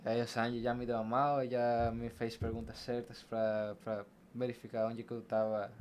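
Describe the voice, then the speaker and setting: A man's voice: medium pitch, level tone, normal speed, darkish tone, a little hiss or hum. A young adult man with a Brazilian Portuguese accent, a podcast guest telling personal stories casually and acting out what was said. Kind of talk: casual personal storytelling in an interview.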